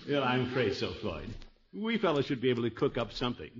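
An actor playing a pompous, genial middle-aged uncle in a blustery, hemming, self-satisfied manner of radio sitcom acting. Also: male voice, mid-range voice, very animated, fast, lightly acted. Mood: genial bluster. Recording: muffled 1950s radio recording, hiss.

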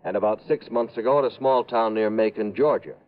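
A man's voice: on the low side, brisk, highs cut off, natural voice. An American man playing a businesslike, persuasive insurance executive in a 1950s radio drama. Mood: businesslike, explaining. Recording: thin, band-limited 1950s radio recording.

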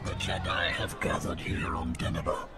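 An actor playing an ancient alien Jedi master in an audio drama, giving grave formal oratory through an eerie telepathic effect. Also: male voice, low-pitched, normal speed, a loud crowd. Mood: solemn, commanding. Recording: loud noisy ambience, distant processed sound.

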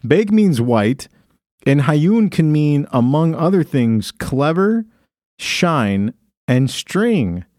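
A man's voice: a little high, sing-song, takes it slowly, darkish tone, no echo, studio quality. A man hosting a podcast, reading from his phone in a casual, crude way with frequent laughter. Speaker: podcast host. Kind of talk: casual comedic chat.

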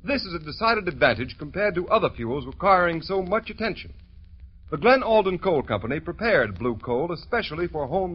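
Male announcer, old-time radio advert, husky, very lively intonation, emphatic pitch, dull recording. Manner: emphatic, salesmanlike. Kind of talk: 1940s radio commercial announcing.

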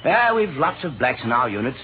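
An actor playing a breezy, good-natured cavalry lieutenant with a chummy, slangy, slightly hesitant manner in an old radio drama. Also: male voice, medium pitch, sing-song, brisk, narrow, tinny audio, natural voice. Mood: hearty. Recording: thin, lightly noisy old radio recording.

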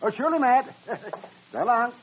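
An actor playing a crusty, grumbling old American frontier doctor in a radio western, raspy and cantankerous. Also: male voice, extremely high pitch, sing-song, background noise. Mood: wry, intrigued. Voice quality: raspy, husky. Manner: cantankerous, drawn-out, swooping delivery. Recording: dull old-time radio recording.

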